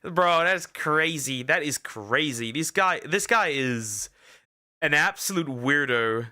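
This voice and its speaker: A man reacting to clips on his stream, speaking slowly in a bright, clear voice. He is blunt and emphatic and swears freely. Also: fairly high pitch, expressive. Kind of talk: casual reaction commentary.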